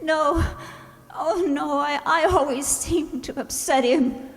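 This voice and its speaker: A woman playing a distraught young wife in a radio drama, anxious and halting, pleading for her sick husband. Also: high voice. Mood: worried, pleading. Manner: halting, anxious, breaking off mid-sentence. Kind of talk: old-time radio drama acting. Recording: roomy hall with long reverb.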